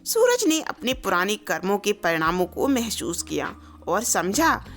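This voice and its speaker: A woman's voice: medium pitch, big pitch swings, unhurried, a bit bright, soft background music. A woman reading a scripted moral story as a storyteller.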